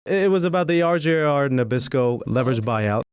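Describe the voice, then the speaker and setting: A man's voice: mid-range voice, expressive, moderate pace, smooth, dry recording. A man, a lawyer and guest, telling his life story in a studio podcast interview, articulate and earnest.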